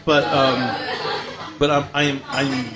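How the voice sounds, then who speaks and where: A man co-hosting a casual talk show, telling stories in an animated way and acting out what other people said. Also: medium pitch, takes it slowly. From lives abroad as a foreigner. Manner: animated storyteller who acts out other people's lines. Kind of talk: casual chat.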